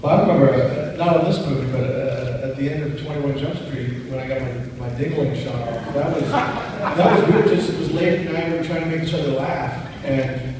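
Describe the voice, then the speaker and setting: A man, an actor on a convention press panel, making quick joking asides. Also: medium pitch. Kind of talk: casual panel answers. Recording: echoey hall, far from mic, noisy.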